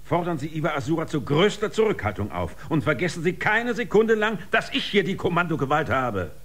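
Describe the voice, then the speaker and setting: An actor playing a stern, threatening spaceship commander in a sci-fi radio drama, husky and commanding. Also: male voice, on the high side, very animated, a little fast, a bit bright. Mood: cold, threatening. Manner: commanding, imperious.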